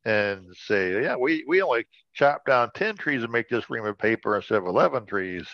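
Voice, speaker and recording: Joking man with a creaky, close-miked voice. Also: medium pitch, expressive, moderate pace, no echo, highs cut off. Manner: joking, playful. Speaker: co-host. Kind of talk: casual bantering chat.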